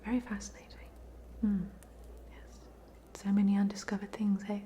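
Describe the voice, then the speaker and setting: A woman's voice: mid-range voice, little pitch movement, normal speed, muffled recording, a little hiss or hum, close to an everyday voice. A woman playing a polite, chatty ghost of a drowned student in a whispered, hesitant ASMR roleplay. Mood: gentle, friendly. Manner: whispered, hesitant, gently rambling.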